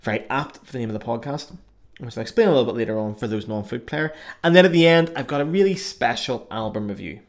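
A man's voice: medium pitch, animated, fast. A young man with a Belfast accent, hosting a solo podcast in a chatty, self-mocking way with frequent fillers. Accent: Belfast Northern Irish. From Northern Ireland. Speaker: podcast host. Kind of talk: casual solo podcast monologue.

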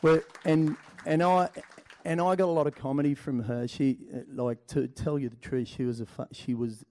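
A man speaks as a panel guest, working through his thoughts with plenty of ums. His voice is dark and mellow with a gravelly edge. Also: on the high side, fairly even intonation, moderate pace, a little hiss or hum. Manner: hesitant, full of ums. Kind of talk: live podcast panel chat.

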